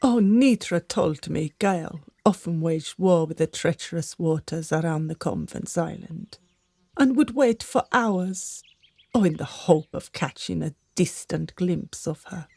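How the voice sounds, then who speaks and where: A woman's voice: fairly low pitch, lively intonation, measured pace, creaky, a little mellow, no echo, heavily acted. A woman playing an older gossiping servant in a radio drama, secretive, theatrical and conspiratorial. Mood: confiding.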